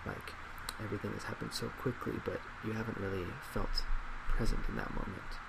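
A man in his twenties in a soft-spoken ASMR role play as a psychologist, measured and reflective. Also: lowish voice, gravelly. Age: 20s. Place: small indoor office at a desk. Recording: steady loud laptop fan hiss.

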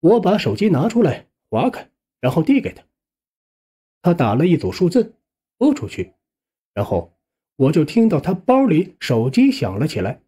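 A man's voice: a little high, wildly swooping pitch, moderate pace, long pauses, warm tone, breathy edge. A man narrating a first-person story as an audiobook, acting out the dialogue expressively.

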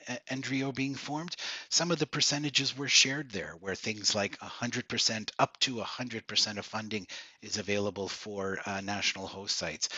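A man giving a measured talk over slides at an online town hall, pausing often with fillers and restarts, on a video call. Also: on the low side, pushed and bright, breathy edge. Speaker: organization leader presenting at a town hall. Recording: dull lo-fi video call audio.

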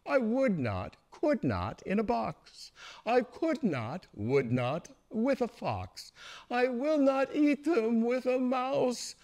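Man as a stubborn storybook grump, wildly swooping pitch. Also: big pitch swings, slow, a little mellow, full character voice. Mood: exasperated. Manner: emphatic, singsong refusals. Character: stubborn, grumpy storybook creature refusing food.

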